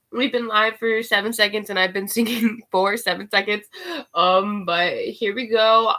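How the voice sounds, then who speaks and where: A woman with a cold and a nasal voice, unhurried and full of "um" fillers, giving spiritual guidance and leading a meditation on a live stream. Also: mid-range voice, sharp tone. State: sick with a cold.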